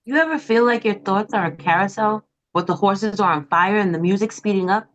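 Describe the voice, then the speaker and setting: A woman's voice: mid-range voice, a little fast, fairly bright tone, close to an everyday voice. A woman playing a manic, sleepless and bitter psychiatric patient in a dramatic, confrontational way at a script table read. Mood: manic, restless. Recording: slightly dull, lo-fi audio.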